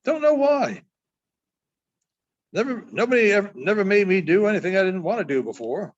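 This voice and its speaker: A man acts out a brash young boy from a storybook, sulky and blunt with slangy, clipped talk. The voice is pitched high and jumps around freely. The sound is a little dull. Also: big pitch swings, normal speed. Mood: warming, eager.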